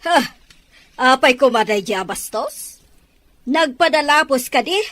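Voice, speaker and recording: Woman playing proud middle-aged mother, indignant, fairly high voice, Ilocano accent, radio drama. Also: lively intonation, measured pace, a bit bright. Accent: Filipino, Ilocano. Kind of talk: amateur radio drama acting.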